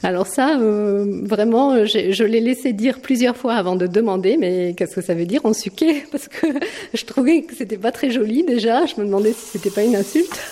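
A woman's voice: on the high side, expressive, normal speed, warm tone. A woman speaking hesitantly and with amusement in an interview. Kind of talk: casual testimony.

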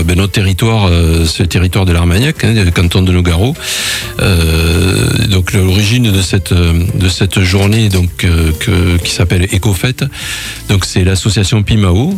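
A deep-voiced man from southwest France speaks as a radio guest for a local association. He explains things off the cuff, repeating words as he goes, with faint music behind. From Gers, southwest France. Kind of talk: unscripted explanatory interview talk.

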